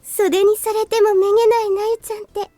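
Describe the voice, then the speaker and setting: A polite, sweet schoolgirl from a visual novel, with a very high, mellow voice. She speaks briskly with a teasing streak. The recording is dry and close. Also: flat delivery, no room sound. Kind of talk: Japanese visual novel voice acting.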